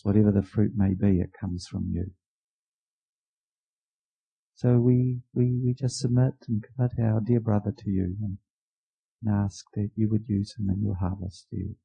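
A man leading a church service, welcoming a guest and praying in an informal, halting way. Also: lowish voice, creaky, mellow, slightly rough, highs cut off. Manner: informal and halting, many restarts. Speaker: church leader.

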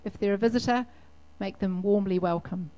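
Chatty New church service leader. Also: female voice, mid-range voice, normal speed, mellow. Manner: friendly, chatty, leads a prayer. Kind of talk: leading a church service and interview.

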